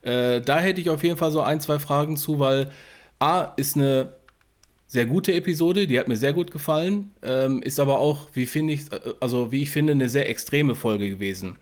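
A man's voice: medium pitch, moderate pace. A man co-hosting a podcast, chatting casually as he interviews a guest. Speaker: podcast co-host.

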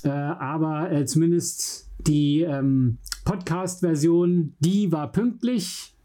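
A man's voice: a little high, takes it slowly, warm tone. A man with a German accent co-hosting a podcast in casual chat from a home studio, with a joking, self-deprecating manner. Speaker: podcast co-host.

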